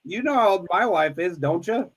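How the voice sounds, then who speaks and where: A man's voice: sits high, expressive, normal speed, breathy edge. A man in his sixties hosting a live stream, chatting casually with family, rambling and joking with many restarts. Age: 60s.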